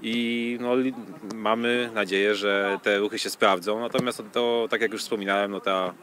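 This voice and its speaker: A man in his thirties giving measured, hesitant answers in a sports interview, speaking into a handheld microphone on a pitch. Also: fairly even intonation, fairly bright tone. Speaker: football club official being interviewed. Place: outdoors on a football training pitch. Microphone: handheld reporter microphone. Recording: outdoor field noise.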